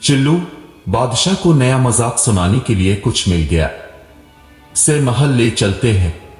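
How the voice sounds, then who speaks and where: Man playing a challenging royal soldier, booming reverb. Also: animated, measured pace, a little mellow, close to an everyday voice. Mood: mocking. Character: mocking, challenging male royal soldiers at hunting camp. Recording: roomy echo with long reverb, music behind.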